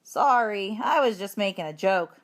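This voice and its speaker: Storybook schoolboy joker, put on by a woman: cheeky, animated, tossing out remarks. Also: mid-range voice, normal speed, natural voice. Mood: apologetic. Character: joking schoolboy, cheeky, low muttering voice. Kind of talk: children's storybook read-aloud.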